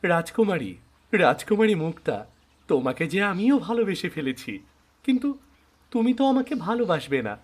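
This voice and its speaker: Man as a high-voiced young monkey prince. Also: sing-song, normal speed, long pauses. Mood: longing, doubtful. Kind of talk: Bengali fairy tale cartoon.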